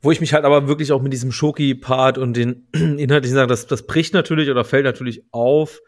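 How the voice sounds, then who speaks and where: A man's voice: mid-range voice, steady tone, fast talker. Male podcast co-host, medium register, casual music talk, hedges and circles before landing the point. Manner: hedging, circling toward his point. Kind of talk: casual music review chat.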